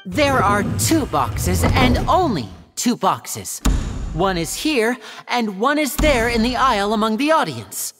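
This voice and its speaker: A woman's voice: on the high side, sing-song, slow, long reverb tail, over music. A woman voicing a suave young male stage magician in a video game, addressing an audience with theatrical, polished and courteous patter. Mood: showy, gracious.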